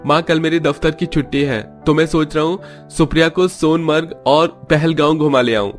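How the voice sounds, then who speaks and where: A man's voice: fairly high pitch, fairly even intonation, normal speed, soft background music, lightly acted. A man playing an affectionate, polite young husband in a cartoon story, courteous and tender. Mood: casual. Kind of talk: Hindi cartoon story dialogue.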